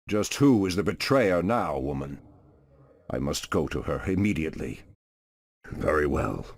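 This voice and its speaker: A man voicing an ancient, solemn and commanding druid leader in a fantasy video game, with a grave, formal, theatrical delivery and a gravelly, husky voice. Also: deep voice, exaggerated voice. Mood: stern.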